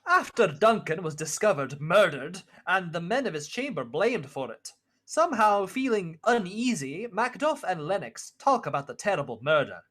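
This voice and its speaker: A man's voice: high voice, very animated, normal speed, hardly any pauses, a bit bright, heavily acted. A young man acting as an earnest narrator and nobleman in a Shakespeare radio play. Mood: narrating. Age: young adult. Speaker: student actor, narrator and supporting parts.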